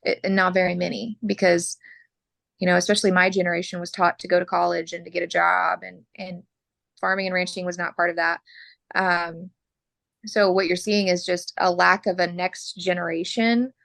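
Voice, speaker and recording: A woman from Oklahoma, a butcher, talking frankly as a podcast guest while losing her voice and clearing her throat. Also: fairly low pitch, flat delivery, normal speed, fairly bright tone, dry recording. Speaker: butcher and mobile processor owner.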